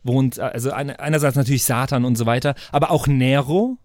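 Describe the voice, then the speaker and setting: A man's voice: mid-range voice, steady tone, a little fast, darkish tone, dry recording, studio quality. A man hosting a podcast in casual chat, rambling, slangy and joking. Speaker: podcast host.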